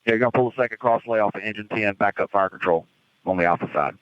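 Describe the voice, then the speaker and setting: Over a dull, dry two-way radio, a man gives orders as a fire commander. His delivery is quick, clipped and businesslike. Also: lowish voice, clear, resonant tone, no echo. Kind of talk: terse emergency radio dispatches. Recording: dull, band-limited fireground radio traffic.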